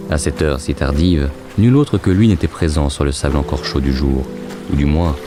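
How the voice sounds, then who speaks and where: A man with an extremely deep voice narrates a fantasy tale. His delivery is measured and literary, like scripted storytelling, with music clearly playing behind.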